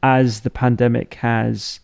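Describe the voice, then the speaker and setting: A man hosting a podcast who asks thoughtful, halting questions with frequent restarts. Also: medium pitch, flat delivery, vocal fry, darkish tone, smooth, no room sound, clean studio sound. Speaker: podcast host. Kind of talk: conversational interview.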